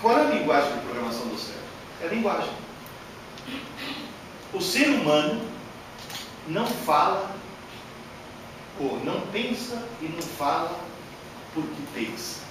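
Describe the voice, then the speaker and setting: A man giving a workshop lecture to an audience, animated and emphatic, with many rhetorical questions, speaking Portuguese as a learned language. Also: fairly high pitch, unhurried, pauses often. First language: not Portuguese. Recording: echoey hall from far off, noisy.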